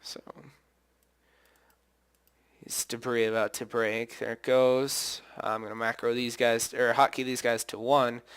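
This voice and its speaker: A man talks through his own match in a loose, wandering way, stopping to correct himself and think out loud. His voice sits at an ordinary pitch and comes through smooth and clear, with only a slight hoarseness left over from singing. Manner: rambling, thinks aloud, self-correcting. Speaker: gameplay commentator. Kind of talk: casual gameplay commentary.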